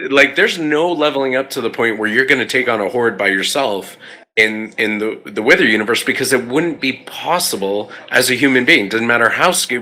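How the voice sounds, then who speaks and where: A man's voice: mid-range voice, bright, forceful tone. A man chatting casually and enthusiastically about tabletop gaming in an informal way. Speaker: podcast panelist and game player.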